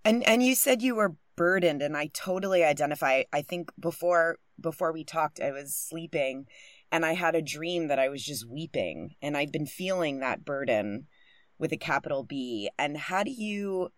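A woman's voice: low voice, expressive, normal speed, dry recording, studio quality. A young woman hosting a podcast, talking candidly and swearing freely, with frequent laughs, recorded in a closet at home. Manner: candid, profane, bubbly, laughs often. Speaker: podcast host. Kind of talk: casual podcast intro and interview. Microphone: studio mic close up.

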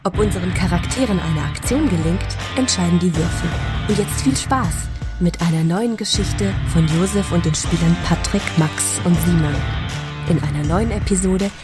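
Low-pitched female announcer over loud guitar music. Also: normal speed. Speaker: show announcer. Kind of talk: scripted show intro.

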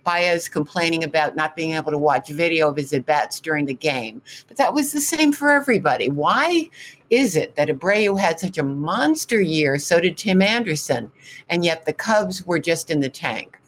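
A woman's voice: low voice, lively intonation, moderate pace. A woman reporter hosting a conversational sports interview, direct and opinionated in how she talks.

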